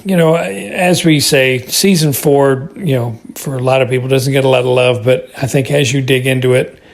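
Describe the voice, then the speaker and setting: A man's voice: mid-range voice, steady tone, moderate pace, vocal fry. A middle-aged or older American man giving a casual spoken review, hesitating and repeating words as he rambles. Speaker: listener calling in with feedback.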